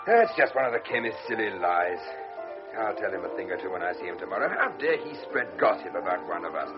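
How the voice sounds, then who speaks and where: An actor playing a jovial, gossiping fellow officer in an old radio drama. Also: male voice, low voice, lively intonation, fast, over music. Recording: dull lo-fi radio broadcast.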